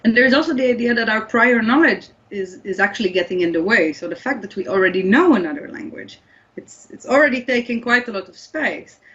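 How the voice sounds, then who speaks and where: A woman's voice: fairly high pitch, animated, brisk, bright, forceful tone. A woman whose first language is Hebrew, explaining research in an interview and often restarting her sentences. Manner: thoughtful, many restarts and fillers. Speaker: guest researcher. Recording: slightly dull lo-fi audio.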